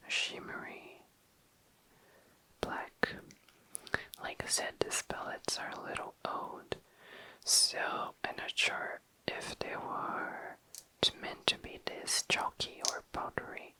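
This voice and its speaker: A young adult ASMR host whispering casual, tentative commentary, often self-correcting in an unhurried way. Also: sharp tone, poor audio. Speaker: host of an ASMR makeup video. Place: indoors, tabletop close-up video.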